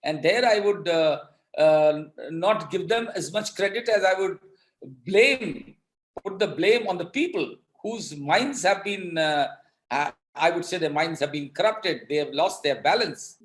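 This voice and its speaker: A man with an Indian accent speaks as a commentator being interviewed. His voice is notably high for a man, and he talks in halting, emphatic stretches with repeated words. The sound is very roomy and echoing. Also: lively intonation. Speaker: political commentator.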